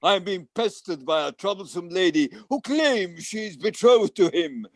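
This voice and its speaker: A man's voice: high-pitched, expressive, normal speed. A man acting a grumpy, impatient, wealthy villain in an amateur pantomime, with a haughty, exasperated theatrical delivery. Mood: indignant. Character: grumpy, impatient wealthy villain parent seeking a missing son.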